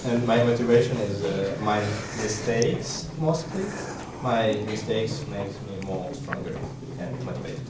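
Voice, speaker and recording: A man with a Ukrainian accent introducing himself to a workshop group in a joking, halting way. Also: fairly low pitch, recorded from a distance. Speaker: workshop participant. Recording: echoey room, voice from afar, noisy.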